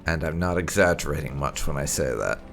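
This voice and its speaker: A man hosting a gaming video, giving casual, wry playthrough commentary with some light roleplay in a gravelly voice. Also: extremely low pitch, brisk, fairly bright tone. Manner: wry, sarcastic. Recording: game music behind. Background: game music.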